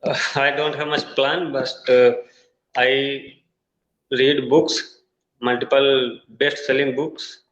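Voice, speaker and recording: A man from India with an Indian English accent, a Hindi native speaker learning English, talking haltingly in an online tutoring conversation over a video call. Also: medium pitch, flat delivery, a bit bright. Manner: halting, searching for words with many uh fillers. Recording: echoey, dull video-call audio.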